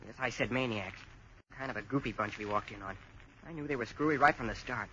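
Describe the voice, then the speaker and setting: A man's voice: medium pitch, fast talker, sharp tone, a little hiss or hum, close to an everyday voice. A man playing a blunt, impatient young American in a 1940s radio drama, speaking urgently and in slang. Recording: dull lo-fi 1940s radio broadcast.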